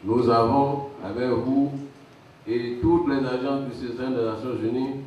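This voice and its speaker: A man's voice: medium pitch, fairly even intonation, a little slow, a little mellow. A man delivering a formal public address, recorded from a distance in an echoing hall. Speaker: speaker addressing a UN envoy. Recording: echoey hall, distant mic, faint noise.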